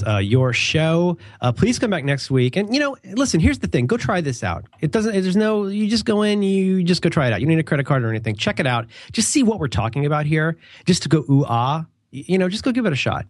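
A man's voice: fairly high pitch, no room sound. Congested, rambling male podcaster, close and dry. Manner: rambling, digressive, wryly joking. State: congested with a cold. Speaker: podcast co-host. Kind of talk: casual podcast chat and ad read.

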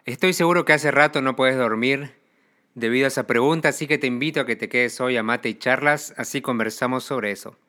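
A man's voice: mid-range voice, fairly quick, bright, forceful tone. A man hosting a show, giving a friendly, teacherly explanation in a scripted monologue. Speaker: podcast host.

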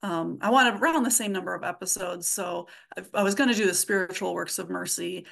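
Slightly low-voiced woman, chatting informally. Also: creaky, a bit bright. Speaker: podcast guest, comedy audio drama writer. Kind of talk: casual interview chat.

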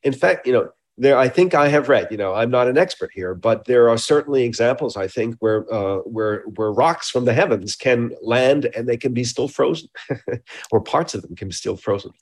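A man speaking as an expert interviewee, explaining informally and haltingly, often repeating words and qualifying what he says. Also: mid-range voice, animated, darkish tone. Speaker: scientist being interviewed.

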